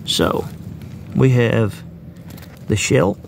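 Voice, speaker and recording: A man with a gravelly, mid-pitched voice talks his way through a hands-on outdoor project in a loose, folksy ramble. It's caught on a phone held close, with faint road noise underneath. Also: sing-song, extremely slow. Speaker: bushcraft video maker. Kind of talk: casual outdoor demonstration. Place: outdoors on the ground near a road. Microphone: phone camera held close.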